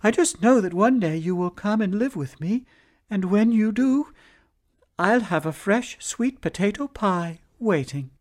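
A man's voice: animated, measured pace, darkish tone. A male storyteller voicing a brave, clever wife who bakes pies, in a raised voice. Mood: hopeful. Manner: high-pitched, pleading then firm. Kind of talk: storytelling performance.

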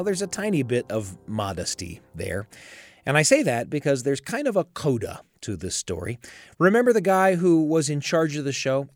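Man hosting radio storytelling, very lively intonation. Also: medium pitch, no echo. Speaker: radio show host. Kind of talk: scripted storytelling radio narration.

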